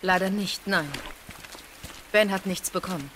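An actress playing a cool, ruthless secret agent in an audio drama, curt and businesslike. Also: female voice, on the low side, unhurried, a bit bright, slight background noise. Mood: cool, dry.

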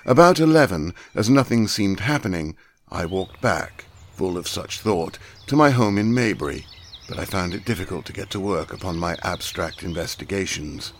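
A man narrating a classic novel aloud in a measured, precise reading. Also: on the low side. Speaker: audiobook narrator.

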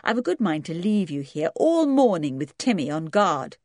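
A woman's voice: fairly low pitch, sing-song, moderate pace, a little mellow, no room sound, studio quality. Woman playing a bold, scornful tomboy girl. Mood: scornful, commanding. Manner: scornful, firm, taunting.

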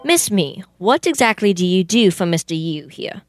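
A woman's voice: medium pitch, big pitch swings, normal speed, natural voice. A young woman playing a polite, methodical male police detective in an amateur radio play. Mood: polite, puzzled. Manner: formal, questioning. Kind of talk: amateur old-time radio theater acting.

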